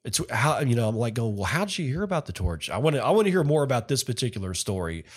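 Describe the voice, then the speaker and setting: A man's voice: medium pitch, big pitch swings, fast talker, husky. A man hosting a solo podcast, talking casually and rambling, often correcting himself mid-sentence. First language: not Finnish. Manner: rambling, self-correcting, chatty. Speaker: podcast host.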